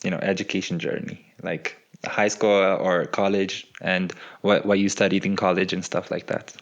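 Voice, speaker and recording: A man hosts a conversational interview podcast. His voice sits very low, with a clearly creaky, gravelly edge. He introduces and asks questions as the host. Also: little pitch movement, moderate pace, highs cut off.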